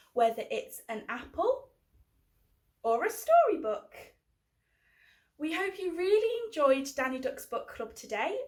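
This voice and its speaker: A British woman hosts a children's book club. Her voice is high with a lot of melodic movement as she talks young children through an activity.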